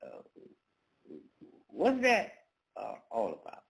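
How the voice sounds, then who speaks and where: Halting man talking to his viewers. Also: mid-range voice, wildly swooping pitch, vocal fry, fairly bright tone, low-quality recording. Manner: halting, many fillers and restarts. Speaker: channel host. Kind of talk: casual monologue to camera.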